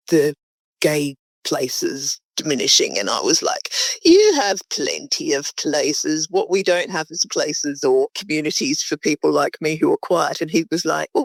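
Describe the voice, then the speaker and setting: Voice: medium pitch, creaky, dry recording. A group founder talking casually in an interview, in a hesitant, thoughtful way.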